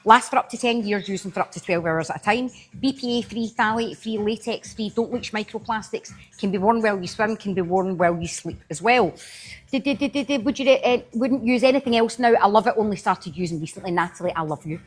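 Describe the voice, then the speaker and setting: A woman with a strong Glaswegian accent talks through a live product demo, stopping often to greet and thank viewers by name. Her voice is breathy and a little husky, sitting at an ordinary middle pitch. It is recorded close to the mic in a casual, friendly way. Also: brisk. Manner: chatty, answers viewers by name while demoing. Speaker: live-selling host.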